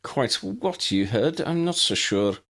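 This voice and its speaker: A man voicing a stern, elderly head teacher woman in an audiobook, brisk, formal and wry in manner. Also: medium pitch, sing-song, fairly bright tone, voice pushed up, full character voice. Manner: proper, schoolmistressly, pronouncing.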